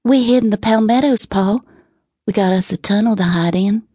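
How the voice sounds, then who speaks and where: Woman voicing a sweet high-pitched Southern little girl. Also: dry recording, natural voice. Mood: knowing, eager. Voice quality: slow, soft, sweet. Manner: rustic dialect, drawling. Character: seven-year-old country girl, knowing and unafraid. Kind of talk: audiobook character reading.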